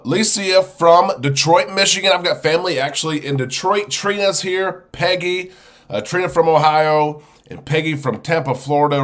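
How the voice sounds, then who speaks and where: A man hosting a live show, chatting casually and greeting viewers one by one, congested and sniffly from a chest cold. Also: a little high. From United States. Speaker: host.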